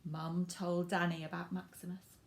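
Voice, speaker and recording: A woman reading her picture book aloud for children in an expressive, emphatic way, with asides to the young listeners. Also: lowish voice, moderate pace, slight background noise. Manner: addresses young listeners directly. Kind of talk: children's storybook read-aloud with asides to viewers.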